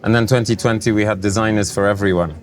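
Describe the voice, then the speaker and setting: Man from Poland, Polish native speaker, low voice, relaxed and measured, lists examples. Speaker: podcast guest, design festival founder. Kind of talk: casual interview chat. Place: festival venue, recorded live.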